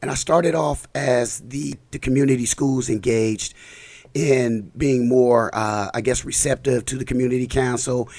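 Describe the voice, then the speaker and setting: A man's voice: mid-range voice, darkish tone, no echo. A man from Los Angeles, a community leader, talks loosely with fillers and restarts, his voice a little scratchy from a cold. He sits close to a dry studio mic. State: slight cold, a bit scratchy. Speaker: community council president, guest. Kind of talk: casual interview talk.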